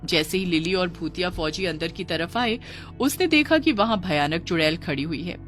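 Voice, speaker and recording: A woman's voice: fairly low pitch, lively intonation, moderate pace, fairly bright tone. Female storyteller with music behind. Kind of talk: scripted narration of a children's ghost story.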